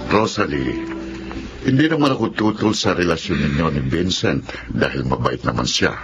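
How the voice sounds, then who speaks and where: A man's voice: very deep voice, lively intonation, moderate pace, loud music behind the voice. A Filipino man playing a stern but caring middle-aged father in a radio drama, giving admonishing fatherly counsel. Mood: caring, firm. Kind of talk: Tagalog radio drama acting. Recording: dull lo-fi radio drama, noisy ambience.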